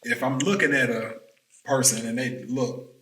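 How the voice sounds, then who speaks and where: A man's voice: mid-range voice, normal speed, a crowd in the background, rough recording. A man as a podcast guest, chatting casually in a plain-spoken, slangy way and repeating points for emphasis. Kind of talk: casual chat.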